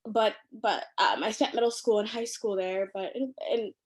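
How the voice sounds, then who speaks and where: A young woman from Ethiopia talks in an animated, casual way, with plenty of "like" and "um" between her thoughts. Her voice sits at an ordinary middle pitch. She speaks over a video call from a living room at home. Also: normal speed. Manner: chatty, animated, lots of fillers and laughter. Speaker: podcast guest. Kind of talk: casual conversation.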